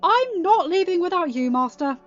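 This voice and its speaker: A woman's voice: very high-pitched, lively intonation, moderate pace, pitched above the performer's own voice. A woman voicing a brash, loyal young male Jedi pilot in a story reading, eager and insistent. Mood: urgent. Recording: dull, band-limited audio with background music.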